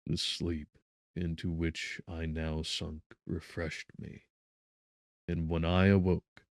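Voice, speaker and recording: A man narrating a gothic novel as an audiobook in a solemn, measured, theatrical manner. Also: extremely low pitch, dry recording, studio quality.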